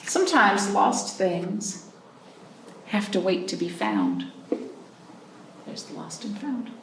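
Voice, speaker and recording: A woman hosting a storytime for young children, reading expressively with drawn-out phrases and pausing to put questions to her listeners. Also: mid-range voice, wildly swooping pitch, a bit bright. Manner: prompting listeners with questions and pauses. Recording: roomy, distant mic, faint noise.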